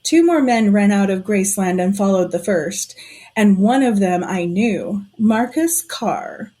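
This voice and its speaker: A woman playing a shrewd, suspicious conspirator in a period audio drama, telling things in a knowing, deliberate way. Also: medium pitch, expressive, dark tone, slightly rough.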